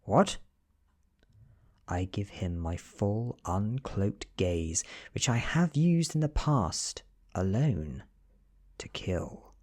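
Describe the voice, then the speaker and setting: A British man reading a story as soft-spoken ASMR narration, hushed and often dropping into a whisper. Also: on the low side, animated, slow. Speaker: audiobook reader.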